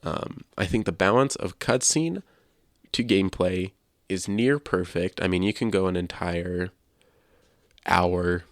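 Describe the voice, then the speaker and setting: A man's voice: deep voice, a little slow, no room sound, clean studio sound. A man hosting a podcast, sharing his opinions in casual discussion. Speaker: podcast host.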